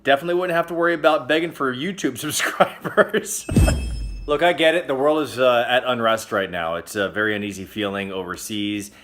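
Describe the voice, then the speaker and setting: A man hosting a casual comedic news roundup, chatty and joking, with sudden loud exclamations. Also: mid-range voice, brisk, a little hiss or hum. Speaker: host.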